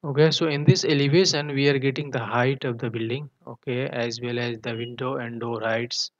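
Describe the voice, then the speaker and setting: A man giving a technical tutorial in a halting manner, often punctuating his explanations with a checking "okay". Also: mid-range voice, steady tone, no echo. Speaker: engineering tutor. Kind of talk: tutorial walkthrough of technical drawings. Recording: dull, muffled lo-fi voice track.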